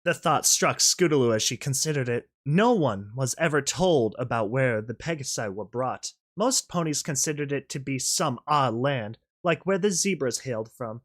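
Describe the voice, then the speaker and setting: A reaction host with a mid-pitched voice reads a story aloud with expression. The delivery moves between dramatic narration and casual, emphatic commentary. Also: lively intonation, normal speed, few pauses.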